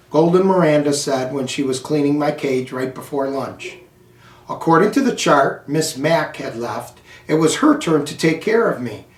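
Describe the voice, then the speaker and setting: American guy reading a kids book slowly, lightish voice, echoey and off the mic. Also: a little high. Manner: slow, deliberate, phrase-by-phrase delivery. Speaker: school superintendent reading aloud. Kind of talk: children's storybook read-aloud. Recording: echoey room, distant microphone.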